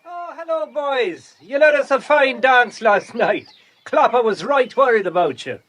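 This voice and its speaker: An actor playing an excitable, simple travelling player, colloquial and exclaiming, in an audiobook play. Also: male voice, extremely high pitch, a little slow, heavily acted. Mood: cheery. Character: excitable, simple travelling player who minds a pantomime horse.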